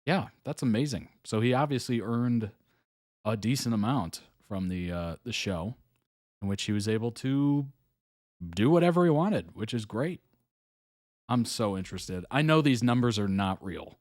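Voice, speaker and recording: A man's voice: mid-range voice, expressive, normal speed, darkish tone, no room sound, clean studio sound. A young adult man hosting a live reaction stream, chatting casually and musing aloud with fillers and small chuckles. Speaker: reaction video host.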